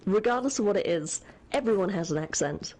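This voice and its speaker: A woman's voice: mid-range voice, lively intonation, brisk, darkish tone, rough recording. Chatty young woman streaming a game. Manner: chatty, laughing, rambling with fillers. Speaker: streamer co-host. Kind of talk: casual let's-play chat, reading chat messages.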